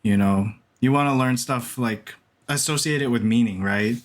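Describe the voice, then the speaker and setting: A man's voice: mid-range voice, moderate pace. A young man co-hosting a podcast, chatting in an easygoing way with frequent short agreements and laughs. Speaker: podcast co-host. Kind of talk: casual chat.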